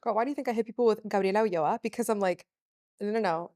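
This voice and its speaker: Young woman hosting, chatty, mid-pitch, lots of likes and laughs. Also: a little mellow. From US, Cuban parents. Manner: chatty, fast, laughs a lot, lots of fillers. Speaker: host. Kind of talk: casual interview chat.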